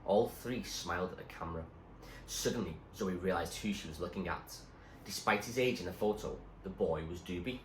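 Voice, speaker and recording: A man reading a story aloud as a teacher would, in expressive storybook narration. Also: low voice, normal speed. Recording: roomy echo, faint background noise.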